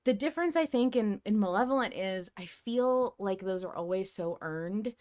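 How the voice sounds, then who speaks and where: A woman hosting a podcast in a casual fan discussion, talking in an animated, excitable way and acting out her reactions. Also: mid-range voice, moderate pace. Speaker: podcast host.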